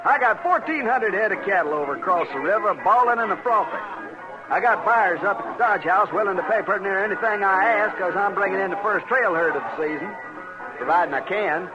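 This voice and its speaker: A high, fretful Western cattleman blusters pompously in a rural American West accent. It is a muffled old radio drama recording with music behind. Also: expressive, a little fast. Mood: indignant, agitated. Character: fretful, indignant, long-winded male cattle drover. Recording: dull vintage radio recording.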